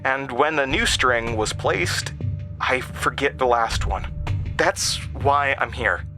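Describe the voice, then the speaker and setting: A man's voice: mid-range voice, slow. A man narrating a horror audio drama in the first person, with a grave, suspenseful delivery. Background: music.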